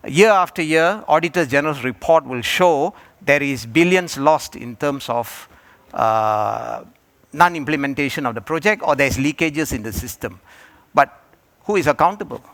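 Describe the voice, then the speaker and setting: A man from Malaysia answering as a panelist at a conference, talking in a halting way with many fillers and restarts. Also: on the high side, expressive, fairly bright tone. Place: conference hall.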